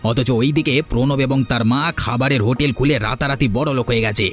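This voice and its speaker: A man's voice: medium pitch, steady tone, fast talker, faint music behind, close to an everyday voice. A man voicing a jealous, short-tempered poor farmer in a cartoon, sour and sarcastic. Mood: bitter. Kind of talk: Bengali cartoon voice acting.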